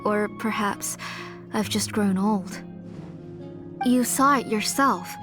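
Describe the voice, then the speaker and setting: Game role for a woman: gentle, resolute older mother, tired and earnest, mid-range voice over clear music. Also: mid-range voice, natural voice. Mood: weary. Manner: measured, earnest. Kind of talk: video game voice acting.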